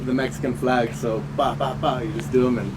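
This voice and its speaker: A podcast host, talking in an enthusiastic, laid-back way with plenty of slang. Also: male voice, medium pitch, steady tone, brisk, noisy background. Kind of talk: casual interview chat.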